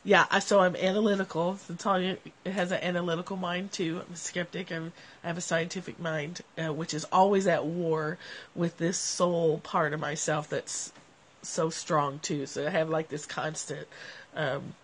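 A woman's voice: fairly low pitch, normal speed, a little hiss or hum. A middle-aged woman with a cold and a nasal voice, talking casually to her livestream viewers on a covered porch, phone held at arm's length. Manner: animated, gestures a lot while talking. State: has a cold. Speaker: livestream host. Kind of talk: casual reflective talk to viewers.

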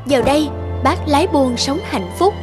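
A woman narrator delivering scripted storybook narration for an animated fairy tale. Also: sits high, moderate pace. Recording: music behind the voice.

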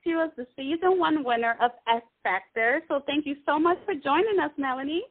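Woman host of a phone interview, high-pitched and upbeat, short questions, lo-fi phone sound. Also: brisk. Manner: upbeat, brief questions and backchannels. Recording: tinny phone line.

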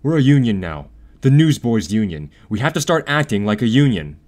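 A man's voice: medium pitch, very animated, a little fast, darkish tone, natural voice. A man acting an earnest, shy young male newsboy who organizes the strike with reasoned talk.

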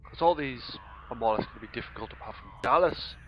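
A hoarse man, casually commentating a strategy game in a rambling, mock-grand way. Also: mid-range voice, animated, quick pace, lo-fi sound, a little hiss or hum. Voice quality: rambling, self-correcting, mock-grand phrasing. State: hoarse, losing his voice. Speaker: let's play gamer.

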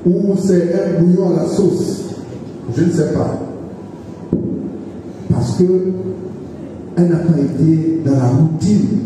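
A middle-aged man from Gabon preaching a sermon into a handheld mic, halting and repeating words, with hallelujahs between points. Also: a little high, steady tone, mellow, distant mic, slight background noise. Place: large church hall, indoors. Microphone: handheld microphone. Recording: echoey hall, voice from afar.

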